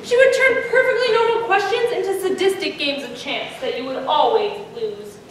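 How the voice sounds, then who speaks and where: Teenage female narrator for comic readers theater, high voice, projected and theatrical, recorded in a very echoey room. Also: normal speed. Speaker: student performer, narrator. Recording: echoey room, distant mic, faint noise.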